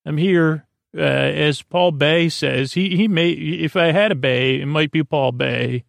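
A man with a creaky voice hosting a sleep podcast, rambling in a halting, goofy way and trailing off into tangents. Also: a little high, no echo. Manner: goofy, gently silly. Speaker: host of a bedtime sleep podcast. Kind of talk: rambling bedtime monologue.

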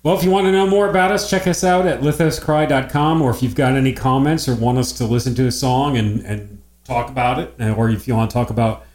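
A man's voice: medium pitch, fairly even intonation, quick pace, hardly any pauses, a little mellow, roomy sound. A man co-hosting a casual podcast, backing up his partner and reading scripture aloud. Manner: affirming, reads scripture aloud. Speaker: podcast co-host. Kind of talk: casual chat.

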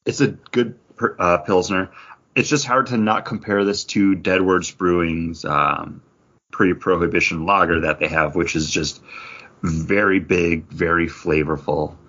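A man's voice: low-pitched, steady tone, measured pace, a bit bright, highs cut off. A man co-hosting a podcast in a casual, rambling, conversational way. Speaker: podcast co-host. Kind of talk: casual chat.

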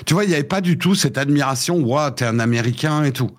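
A man in his fifties, raised in the United States with English as his first language, tells his childhood story in a lively, stop-and-start way. His voice sits at an ordinary middle pitch. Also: quick pace. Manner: animated storytelling with frequent restarts. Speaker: guest. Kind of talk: casual interview chat.

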